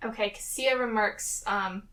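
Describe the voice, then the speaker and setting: A woman's voice: mid-range voice, a little slow, sharp tone. A woman taking part in a tabletop game, speaking casually for her character and herself. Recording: distant microphone.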